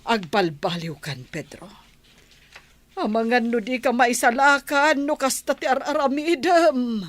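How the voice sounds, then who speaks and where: A woman's voice: fairly high pitch, a little slow, natural voice. A woman playing a reproachful, pleading village woman with a conscience in an Ilocano radio drama.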